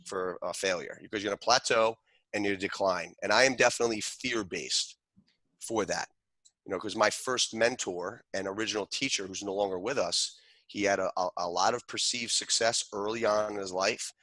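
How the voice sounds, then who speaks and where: A man's voice: on the low side, moderate pace, pushed and bright, no room sound, low-quality recording. A man, a martial arts grandmaster, speaking earnestly and reflectively as a guest in an interview, with frequent restarts. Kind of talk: interview conversation.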